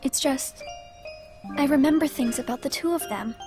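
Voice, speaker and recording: A woman playing a troubled, gentle teenage girl in a video game cutscene, speaking earnestly and hesitantly. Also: sits high, moderate pace, natural voice. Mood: troubled, questioning. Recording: music behind.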